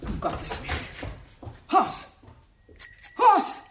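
An actress in a German radio play playing a shrewd, polite elderly spinster who investigates crime, courteous but firm. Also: female voice, very slow. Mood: alarmed. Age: older. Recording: roomy, distant studio sound, faint noise.

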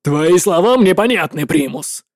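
A woman's voice: fairly low pitch, expressive, measured pace, dry recording, studio quality, voice pushed down. A woman voicing an arrogant, hot-tempered male military legate who barks orders. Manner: barking, domineering, shouting orders.

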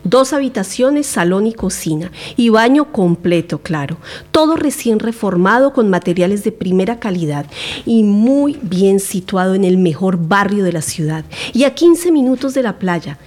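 A woman's voice: mid-range voice, expressive, moderate pace, darkish tone, lightly acted. A woman acting a breezy, boastful letting agent in an audio drama, piling up selling points as patter.

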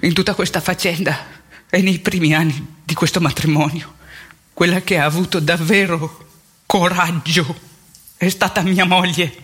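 Woman playing a husky former priest. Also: lowish voice, fairly even intonation. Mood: tender, regretful. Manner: halting, emphatic repetition, colloquial. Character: ageing former priest, wounded, candid, plain-spoken man. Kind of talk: theatrical monologue, intimate confessional storytelling.

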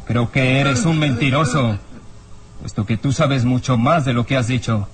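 An actor playing a hard-nosed, uneasy police inspector in a radio serial, with a theatrical, menacing way of questioning. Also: male voice, mid-range voice, moderate pace, natural voice. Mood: mocking, threatening. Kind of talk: old radio serial acting. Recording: radio drama with music underneath.

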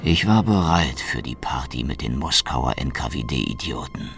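An actor playing a hard-boiled, bitter secret policeman who narrates his story in a brooding, deadpan radio-drama style. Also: measured pace, exaggerated voice. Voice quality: deep, gravelly. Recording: music behind the voice. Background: music.

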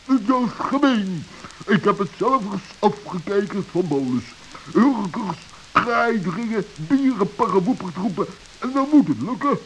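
A man's voice: sits high, animated, measured pace, pitched above the performer's own voice, rough recording. A man voicing an indignant, bumbling forest animal who jumbles his words in a children's radio play. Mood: frustrated. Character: indignant, muddled male forest animal, would-be magician. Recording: hissy old radio recording.

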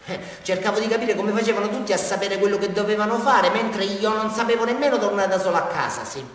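A man's voice: sits high, fast talker, a bit bright. An actor playing a rambling, unhinged man with a southern Italian accent confessing a crime in a theatrical radio monologue. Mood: wistful, musing. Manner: rambling, repetitive, addressing a police officer, swinging from muttering to outbursts. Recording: very echoey hall, far from microphone.

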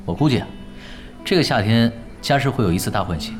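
Actor as a composed, dry-witted gaming strategist. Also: male voice, medium pitch, lively intonation, fast, music in the background, natural voice. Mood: calm analysis. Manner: matter-of-fact, dry, explanatory. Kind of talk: Chinese radio drama acting.

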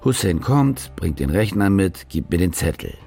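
An actor playing a cynical, self-justifying German war reporter in a radio drama, wry and rambling, with hesitations and restless bursts. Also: male voice, low voice, very animated, moderate pace, creaky, dark tone, soft background music, exaggerated voice. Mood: wry, weary. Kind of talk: naturalistic radio drama monologue and dialogue.

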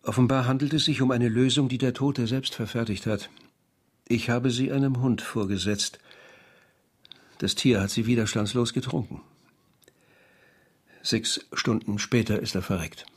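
An actor playing a sober, learned doctor with a measured, clinical manner in a historical radio crime drama. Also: male voice, mid-range voice, fairly even intonation, slightly rough, natural voice. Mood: grave, measured. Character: sober, learned male doctor in old Paris.

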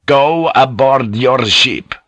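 A man's voice: on the low side, expressive, a little slow, sharp tone, husky, no room sound, exaggerated voice. A man voicing a stern, righteously indignant senior churchman in an audiobook, giving emphatic, imperious commands. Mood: stern anger.

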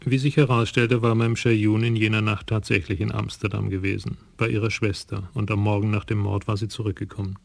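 Flat, gravelly male radio narrator. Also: on the low side, a little fast, few pauses, no room sound. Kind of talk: scripted radio drama narration.

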